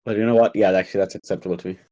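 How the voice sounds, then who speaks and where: A man's voice: sits low, steady tone, rapid-fire, mellow, lo-fi sound. A man chatting and bantering casually as a player in a tabletop game.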